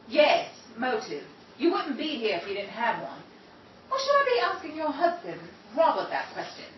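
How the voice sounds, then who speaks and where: An actress playing a bitter, estranged daughter in a 1930s-style radio drama, with sharp, indignant retorts. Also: female voice, high voice, huge pitch swings, moderate pace, roomy sound, far from the mic, lo-fi sound, a little hiss or hum. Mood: defiant.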